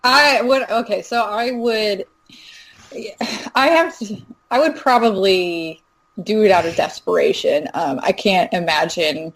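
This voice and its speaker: A woman's voice: medium pitch, measured pace, low-quality recording. A woman speaks in a mid-range voice as a casual podcast guest. She is frank and matter-of-fact, with dry humour. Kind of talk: casual chat.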